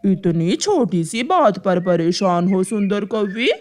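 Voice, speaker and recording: A woman voicing a sly male crow in a cartoon, smooth-talking and coaxing with drawn-out words. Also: medium pitch, lively intonation, darkish tone, a little hiss or hum, pitched below the performer's own voice.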